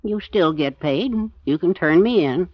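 A man's voice: sits high, expressive, moderate pace, no echo. An actor playing a short, nervous, guilt-ridden man in hiding, speaking bluntly with an American accent in a 1940s radio drama. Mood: weary, uneasy. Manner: terse, blunt, plain-spoken. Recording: dull, dry 1940s radio recording.

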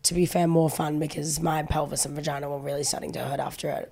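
A woman's voice: deep voice, flat delivery, fast, creaky, dark tone, a little hiss or hum. Nasal woman with a cold. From Australia. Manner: chatty, sweary, storytelling. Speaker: podcast co-host. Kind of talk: casual chat.

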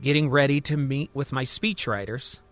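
A man's voice: medium pitch, moderate pace, fairly bright tone, soft background music, natural voice. A man playing an agitated patient who insists he is the president, earnest and desperate, in a dramatized fiction podcast. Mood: earnest, reflective. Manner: earnest, increasingly desperate and angry.

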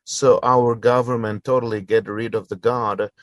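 A man's voice: medium pitch, fairly even intonation, moderate pace, no echo. A man in his forties from Albania, speaking English, earnestly telling his story as an interview guest with fillers and restarts. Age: 40s. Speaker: guest, church planter. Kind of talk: interview answers.

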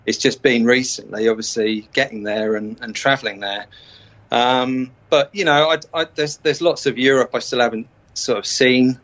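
Guy chatting in an interview, hesitant and halting, dull muffled call audio. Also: medium pitch, steady tone, a bit bright. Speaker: artist being interviewed. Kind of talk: casual interview chat. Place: remote call from the UK.

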